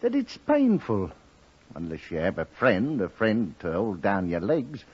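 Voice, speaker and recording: An actor playing a simple, remorseful condemned cattle thief with a husky voice in a 1950s radio drama. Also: male voice, fairly low pitch, wildly swooping pitch, a little slow, heavily acted. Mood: gloomy resignation. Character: doomed male cattle thief, resigned, simple, remorseful drinker. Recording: muffled vintage 1950s radio recording.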